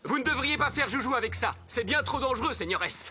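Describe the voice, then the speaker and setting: Young masked cartoon swordsman, suave, mocking, lively high-pitched voice, loud music behind. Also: quick pace. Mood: mocking, confident. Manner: suave, teasing, nonchalant. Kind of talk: French cartoon dub acting.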